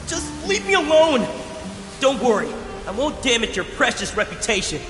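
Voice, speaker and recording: High-pitched, resentful boy. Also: sing-song, moderate pace. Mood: angry, resentful. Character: rebellious, resentful young boy snapping at father. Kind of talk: video game voice acting. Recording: echoey long reverb with music. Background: game music.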